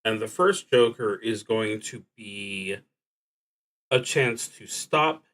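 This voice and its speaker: Slow-talking man with a bright, forceful voice. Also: lowish voice. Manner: halting, thinking aloud, trails off. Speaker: solo tabletop roleplaying streamer. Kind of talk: casual livestream explanation of game rules.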